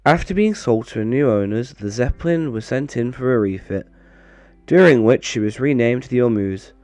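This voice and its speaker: A man narrating in a wry, deadpan way, his voice slightly off as he recovers from a bad cold. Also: medium pitch, moderate pace, dry recording. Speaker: video creator and narrator. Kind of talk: scripted narration with dry humour, casual outro.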